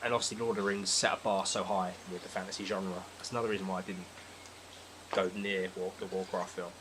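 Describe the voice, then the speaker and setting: A man's voice: deep voice, flat delivery, fast, fairly bright tone, poor audio. A man with a British accent chatting casually as a podcast guest, blunt and opinionated. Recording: clear background noise.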